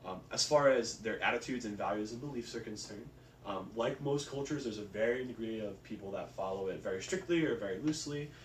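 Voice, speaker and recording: A man's voice: on the low side, brisk. A man giving an informal spoken presentation, explaining things in a casual, loosely worded way. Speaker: presenter of a research report. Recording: roomy, distant mic, faint background noise.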